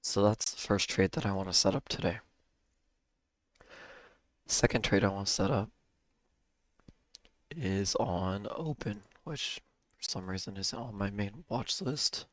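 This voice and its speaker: A man hosting a live day-trading stream, hoarse and losing his voice, talking casually with a cough drop in his mouth and clearing his throat. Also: fairly low pitch, unhurried, pauses often, no echo. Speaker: livestream day-trading host.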